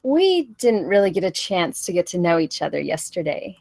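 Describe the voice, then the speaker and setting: A woman's voice: on the low side, very animated, moderate pace, vocal fry, a little mellow, no echo, full character voice. A woman playing a hurt, confrontational young woman in a drama table read. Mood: awkward, polite.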